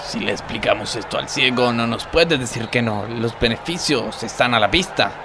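An Argentine man playing a blunt, simple, violent-minded factory worker in a comedic radio play, delivered deadpan. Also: mid-range voice, normal speed, lightly acted. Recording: noisy background.

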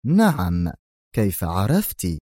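A gruff, menacing older crime boss, as a male narrator voices him, dropping each word slowly and heavily. The tone is low, dark and mellow. It is recorded close and dry. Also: wildly swooping pitch, no echo, exaggerated voice. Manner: slow, heavy, menacing. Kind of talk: audiobook character reading.

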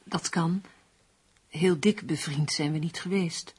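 A woman's voice: low voice, a little mellow, slightly rough, exaggerated voice. An actress playing a troubled, guarded woman in a radio drama, speaking hesitantly. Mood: polite, guarded. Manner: hesitant, halting. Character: troubled, distrustful woman making guarded inquiries. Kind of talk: Dutch radio drama acting.

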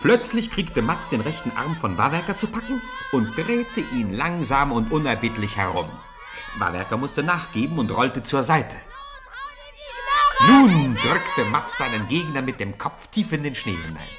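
A man narrates an old radio play in lively storytelling fashion. His pitch moves a great deal as the action swells. A noisy crowd of shouting boys fills the background. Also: moderate pace. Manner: lively storytelling, rising with the fight.

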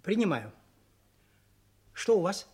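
An actor playing a composed, inquisitive gentleman at cards in an audio play, with a brisk, precise manner. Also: sits high, big pitch swings, breathy edge, exaggerated voice. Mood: brisk, businesslike.

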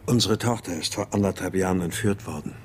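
A man's voice: sits low, fast talker, slight background noise, lightly acted. An actor playing a subdued, grieving father, terse and hesitant, in radio drama style. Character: subdued, grieving father searching for his missing daughter.

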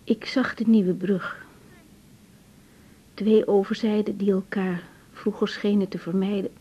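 An actress playing a compassionate, troubled young woman doctor in a radio drama, speaking in a hushed, hesitant, tender manner. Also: steady tone, a little slow, husky. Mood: solemn reciting. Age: young adult. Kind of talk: naturalistic 1980s radio drama. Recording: dull, muffled tape with faint rumble.

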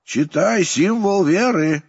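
Man putting on a stern male monk, slow, solemn, immovable; husky voice, dry and slightly muffled. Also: on the low side, pitched above the performer's own voice, heavily acted. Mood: unbending. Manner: slow, solemn, unbending repetition.

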